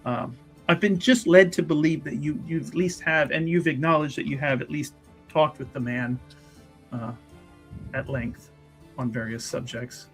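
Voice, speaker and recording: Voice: medium pitch, steady tone, normal speed, lightly acted. A player voicing a polite, hesitant female church investigator who repeats words while probing, in a tabletop roleplay. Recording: music behind the voice. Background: music.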